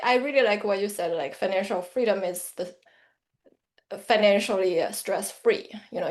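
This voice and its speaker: Earnest woman with a Chinese accent. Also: mid-range voice. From central China. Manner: earnest, reflective. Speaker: financial planner, guest. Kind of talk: interview answers.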